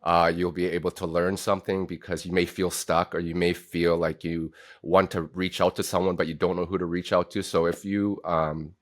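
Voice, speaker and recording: Deep-voiced male podcast host. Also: little pitch movement, normal speed, hardly any pauses. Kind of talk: casual podcast interview.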